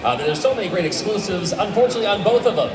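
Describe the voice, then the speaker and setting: A man's voice: high-pitched, lively intonation, very fast. A man on a convention panel hesitantly weighing two choices. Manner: hesitant, weighing both sides. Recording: echoey hall from far off, crowd.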